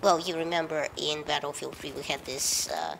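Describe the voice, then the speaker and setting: Woman, deep voice, stuffed-up with a cold, casual gameplay commentary, rambling and wry, faint background noise. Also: lively intonation, normal speed, vocal fry, fairly bright tone, poor audio. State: congested with a head cold. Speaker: video game commentator.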